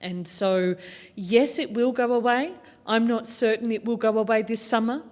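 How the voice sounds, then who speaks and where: A woman's voice: fairly high pitch, steady tone, normal speed, darkish tone. A woman speaking as a panellist at a public talk, earnest and informed, with some fillers. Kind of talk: panel discussion answers. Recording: long hall reverb.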